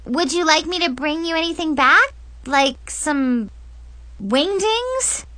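A woman voicing an excitable, awkward, eager-to-please teenage girl with a gushing, hesitant manner in a storybook narration. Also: high-pitched, slow pace, no room sound, voice pushed up, heavily acted. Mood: eager, flustered.

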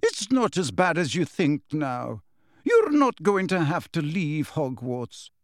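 A man voicing a stern but kindly older woman professor who speaks briskly and firmly. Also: on the high side, wildly swooping pitch, no echo, studio quality, heavily acted.

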